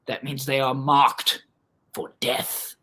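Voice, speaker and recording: A performer voicing a grizzled older man who gives grave, ominous warnings in a hushed, gravelly voice. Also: medium pitch, flat delivery, slow, pitched below the performer's own voice.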